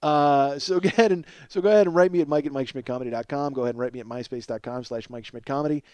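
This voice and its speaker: Middle-aged male comedy podcaster, average pitch, loose and rambling, self-deprecating, salty language. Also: very fast, a little mellow, clear, resonant tone, dry recording. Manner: profane, self-deprecating, exclamatory. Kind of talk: rambling casual comic monologue with plugs.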